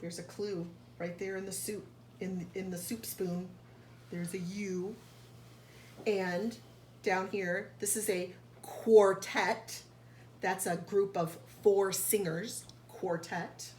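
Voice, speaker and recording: A woman reads a storybook to young children in the manner of a teacher, at a slow pace. She stops for short explanations and sounds out important words with care. Her voice has a medium pitch and rings in an echoey room, with faint noise behind it. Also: steady tone, a little mellow. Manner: didactic, enunciates and sounds out key words for children. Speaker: teacher reading a picture book aloud to young children. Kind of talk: read-aloud storytelling with explanatory asides.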